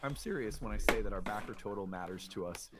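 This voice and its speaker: A man's voice: fairly low pitch, quick pace, very noisy. A man hosting a conversational astrology show, explaining things in an earnest way. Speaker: show host.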